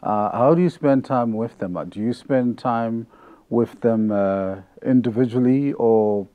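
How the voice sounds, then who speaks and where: A man's voice: medium pitch, measured pace, phone-quality sound. A middle-aged man hosting a casual interview, putting questions in a conversational way. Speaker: interview host.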